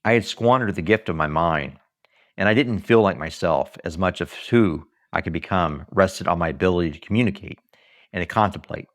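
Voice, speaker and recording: A man reading his own book aloud in an audiobook style, earnest and deliberate, with dramatic emphasis and pauses. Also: low-pitched, fairly bright tone. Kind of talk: audiobook-style scripted narration.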